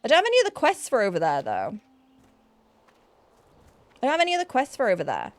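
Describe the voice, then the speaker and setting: A woman's voice: on the high side, wildly swooping pitch, fast talker, no room sound. A young woman with a Yorkshire accent, chatting casually and thinking aloud while streaming an online game. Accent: Yorkshire English, with some Newcastle influence. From Yorkshire, England. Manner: chatty, thinks aloud, self-deprecating, frequent restarts.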